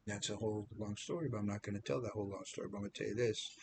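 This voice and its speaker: A man's voice: on the low side, lively intonation, rapid-fire, creaky, slight background noise. Rambling seventy-year-old American man. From United States. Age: 70s. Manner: rambling, repeats words and restarts, self-deprecating. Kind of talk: personal testimony monologue.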